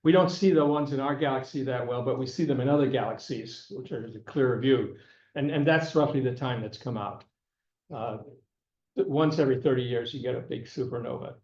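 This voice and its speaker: An older man giving a classroom lecture, halting and repeating words, who is losing his voice and keeps clearing his throat. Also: medium pitch, a little mellow. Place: lecture room. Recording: echoey room, dull lo-fi sound.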